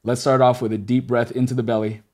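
A man's voice: medium pitch, flat delivery, fast, darkish tone. A man from Los Angeles hosting a podcast, talking in a laid-back, enthusiastic way with casual slang. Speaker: podcast host.

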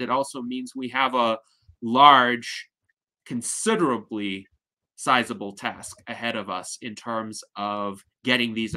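A man in his thirties hosts an online panel over a video call, talking in a measured, articulate and formal way. His voice is bright and forward. He speaks from a study at home. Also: mid-range voice, low-quality recording. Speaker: host, moderator. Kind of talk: panel discussion moderation. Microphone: video call, headset.

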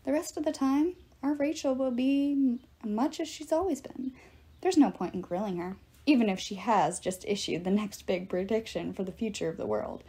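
A woman's voice: a little high, moderate pace, mellow, breathy edge. A woman voicing a cocky, breezy young male god in an audiobook, cheerful and showy, given to grand announcements.

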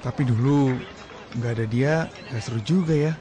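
A man's voice: mid-range voice, moderate pace, a crowd in the background, natural voice. An actor playing a reflective young man talking casually with his friend about his younger brother in a radio drama. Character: thoughtful young man Haji, Damar's older brother.

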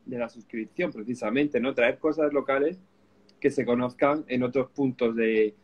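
A man in his thirties with a Spanish accent, a show host at a middle pitch talking into a shared webcam on an indoor set. He is agreeable and chatty, tossing in quick affirmations while he talks about beer. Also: darkish tone, husky, lo-fi sound. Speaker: host, beer subscription company. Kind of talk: casual interview over video call. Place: indoor studio with colorful backdrop. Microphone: webcam sharing a shot with a co-host.

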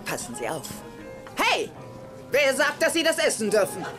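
An actress playing a wealthy, mischievous older widow in a sitcom dub, teasing, self-assured and patronizing. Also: female voice, sits high, wildly swooping pitch, normal speed, heavily acted. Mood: amused, teasing. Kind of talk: dubbed sitcom dialogue. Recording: music and crowd behind voice.

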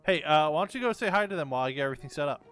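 Man playing a theatrical, animated geeky scientist. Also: fairly high pitch, rapid-fire, natural voice. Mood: pedantic. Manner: theatrical, earnest, pedantic fact-reciting. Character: geeky, banana-obsessed male scientist in a game. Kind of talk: playful improvised game roleplay. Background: background music.

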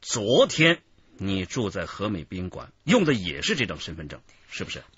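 A man's voice: sits low, wildly swooping pitch, moderate pace, a bit bright, breathy edge, highs cut off, exaggerated voice. A man acting in a radio drama as a stern police interrogator, insistent and official. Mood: stern, accusing.

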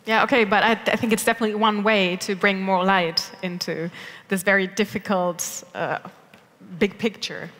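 A woman's voice: mid-range voice, steady tone, normal speed, a bit bright. Thirties woman moderator, conversational, on a big conference stage with hall echo. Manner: conversational, asks open questions. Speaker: panel moderator. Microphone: headset mic. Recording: reverberant hall.

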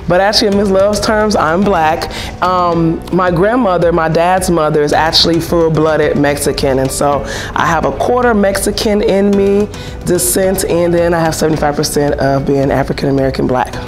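Enthusiastic woman teacher, interview. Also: on the low side. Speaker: family and consumer science teacher. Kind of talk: interview answers. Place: indoor room at school.

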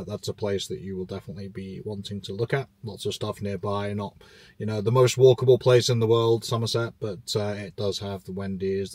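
A man's voice: on the low side, darkish tone, dry recording. A man in his thirties, host of an EV channel, talking casually and informatively from a parked car, with many fillers. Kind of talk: casual road-trip commentary.

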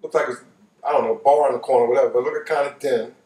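A man's voice: mid-range voice, fairly even intonation, racing, dark tone. An older American man telling a personal story casually and colloquially, repeating phrases for emphasis. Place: living room couch, indoors. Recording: roomy, distant microphone.

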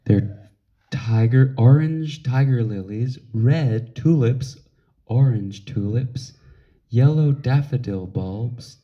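A man's voice: medium pitch, takes it slowly. A man speaking as a parent to a small child in a casual picture-book read-along, with simple, encouraging talk. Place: indoors at home, over a book on a table. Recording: dull, lo-fi home recording.

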